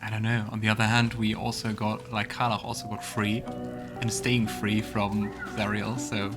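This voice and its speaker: A man's voice: fairly low pitch, level tone, moderate pace, over music. A man giving casual let's play commentary, thinking aloud and reading out dialogue options.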